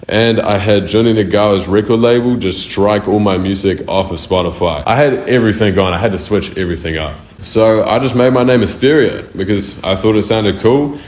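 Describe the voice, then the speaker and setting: Young New male artist, very deep, swears freely, echoey room. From New Zealand. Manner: loose, slangy and profane. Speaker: music artist. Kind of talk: casual answers to interview questions. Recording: roomy echo.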